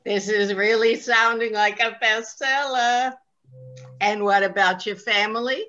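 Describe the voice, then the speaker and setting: A woman's voice: fairly high pitch, fairly even intonation, a little slow, sharp tone, muffled recording. A woman playing a doting, wry old immigrant grandmother in a reader's theatre comedy. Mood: doting, dry.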